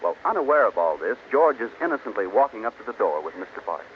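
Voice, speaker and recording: A man's voice: lowish voice, sing-song, quick pace. A man announcing in a scripted 1940s radio narration style, setting scenes as a narrator. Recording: tinny, hissy old radio broadcast.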